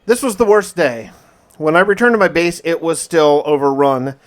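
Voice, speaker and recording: A man with a slightly high voice narrates a video game as a survival diary. His delivery is theatrical and emphatic, and he stretches words out for drama. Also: normal speed. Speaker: gaming video host.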